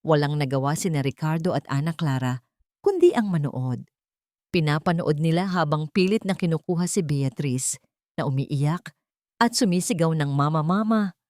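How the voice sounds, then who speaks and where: A woman with a low voice narrates a dramatic audiobook. Her phrasing is solemn and deliberate, and the sound is dry, with no room echo. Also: lively intonation, a little mellow. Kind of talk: scripted dramatic story narration.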